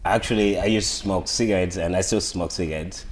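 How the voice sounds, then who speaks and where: Podcast guest: man, 30s, from northern Uganda, low voice, soft-spoken and plain. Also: steady tone, a little fast. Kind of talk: casual interview chat.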